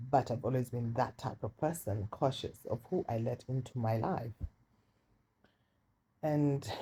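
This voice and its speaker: A woman with a deep voice casually telling a personal story, chatty and confiding, often repeating words for emphasis. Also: dark tone, a little hiss or hum, poor audio. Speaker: storytime vlogger. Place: indoors while rain falls outside. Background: light rain.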